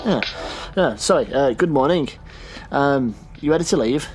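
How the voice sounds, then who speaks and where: A man's voice: medium pitch, animated, moderate pace, music in the background, lightly acted, poor audio. A man playing an easygoing but opinionated taxi driver with a casual, slightly hesitant delivery in a science fiction audio drama. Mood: friendly, casual.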